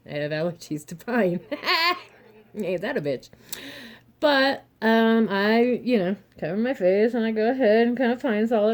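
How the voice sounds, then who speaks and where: Casting: hoarse young woman, chatty and blunt, average pitch, laughs through her advice. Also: darkish tone. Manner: chatty, frank, laughs often, mild cursing. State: a little hoarse and throaty. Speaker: vlogger, single mother and reseller. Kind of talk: casual advice monologue to camera.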